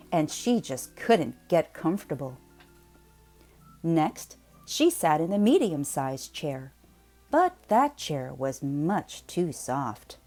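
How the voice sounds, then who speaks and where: A woman storyteller reads a picture book with very lively, swooping pitch. She takes her time, playfully stretching key words, with music playing clearly behind her. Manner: playful, expressive, drawn-out emphasis on words. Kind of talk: animated children's read-aloud narration.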